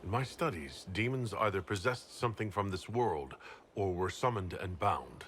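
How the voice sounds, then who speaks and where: An actor playing a stern, pragmatic fantasy leader, speaking with firm, deliberate authority in video game dialogue. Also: male voice, sits low, expressive, a bit bright, a little hiss or hum. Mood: skeptical, firm.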